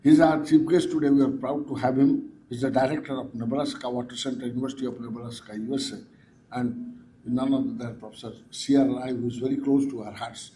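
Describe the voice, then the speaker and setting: Ceremonious male emcee, mellow tone. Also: medium pitch, steady tone, fairly quick, a little hiss or hum, rough recording. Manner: ceremonious, emphatic. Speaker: master of ceremonies. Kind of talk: formal stage announcement.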